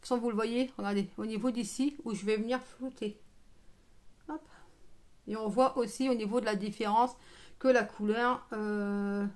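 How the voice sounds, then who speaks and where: A woman's voice: fairly high pitch, fairly even intonation, moderate pace, a little mellow. A woman giving a casual live make-up tutorial, chatting familiarly with her viewers and throwing in playful asides. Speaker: live make-up streamer and beauty seller.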